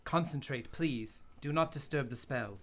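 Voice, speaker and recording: Radio drama: male actor as phony mystic crook, medium pitch, controlled, commanding, slick. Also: unhurried, background noise, natural voice. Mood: hushed, solemn. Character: smooth crooked fake spirit medium, the Raja. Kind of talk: radio play acting.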